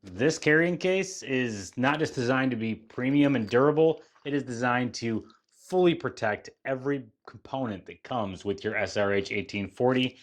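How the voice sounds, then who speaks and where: A man's voice: medium pitch, normal speed. A man narrating a headphone unboxing and demo in an upbeat, promotional manner. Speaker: product reviewer.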